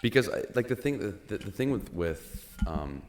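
A young man hosting a podcast, musing in casual chat with halting restarts and frequent "you know". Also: on the low side, big pitch swings, faint music behind. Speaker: podcast host.

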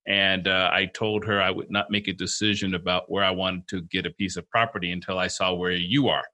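Bright-toned man co-hosting a chat show. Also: low-pitched, fairly even intonation, a little fast. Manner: rambling, storytelling, many restarts. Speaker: podcast co-host. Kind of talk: casual interview chat.

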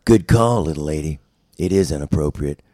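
A man's voice: rumbling low, lively intonation, brisk, mellow, dry recording, studio quality. A man voicing a seedy, self-loathing drifter who poses as an office life coach, world-weary and confessional in noir comedy style. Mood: sleazy earnest. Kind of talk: 1990s noir voiceover table read.

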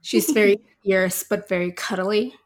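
A woman's voice: medium pitch, fairly even intonation, unhurried. A woman in her thirties from Puerto Rico, a podcast guest chatting casually with frequent ums and laughs. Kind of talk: casual interview chat.

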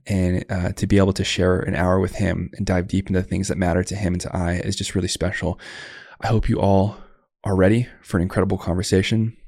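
A man's voice: low-pitched, darkish tone, no room sound, clean studio sound. A young man hosting a podcast, reading his own workshop promotion and then eagerly welcoming a guest over a remote call. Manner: enthusiastic, effusive praise. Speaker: podcast host. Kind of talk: podcast ad read and interview.

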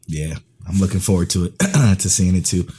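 A man co-hosting a casual podcast, laid-back and slangy, his voice going hoarse. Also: low-pitched, lively intonation, moderate pace, dark tone, slight background noise. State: losing his voice. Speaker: podcast co-host.

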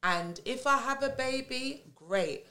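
A young adult woman from England with a London accent, a podcast guest talking candidly and laughing through animated stories. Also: high-pitched, slow pace. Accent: London English. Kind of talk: casual chat.

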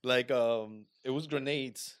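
A man in casual chat, telling a long story in a halting, rambling way with slang and many fillers. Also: medium pitch, clean tone, dry recording, studio quality.

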